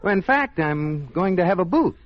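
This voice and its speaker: Pompous American banker husband, muffled radio. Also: male voice, high-pitched, very animated, moderate pace. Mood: nervous, evasive. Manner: pompous, stammering when cornered. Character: self-important banker husband, nervously evasive, protesting too much. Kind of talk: 1950s American radio sitcom acting. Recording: muffled old radio recording, studio audience.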